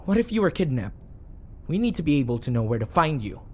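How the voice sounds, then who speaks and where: A man's voice: a little high, big pitch swings, quick pace, a little mellow, a little hiss or hum. Flustered eager bodyguard sidekick played by a man. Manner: rambling, flustered, self-correcting. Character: awkward, eager, blurting obsessive bodyguard sidekick. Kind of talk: audio roleplay acting.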